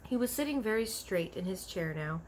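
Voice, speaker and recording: Woman, children's read-aloud narrator, medium pitch, animated intonation, slightly noisy home recording. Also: a little fast. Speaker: storybook reader.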